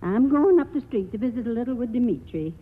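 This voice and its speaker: An actress playing a righteous, sharp-tongued old grandmother nagging her husband in a 1940s radio play. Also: on the high side, expressive, quick pace, noisy background, natural voice. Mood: scolding. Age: older. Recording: tinny, band-limited 1940s radio recording.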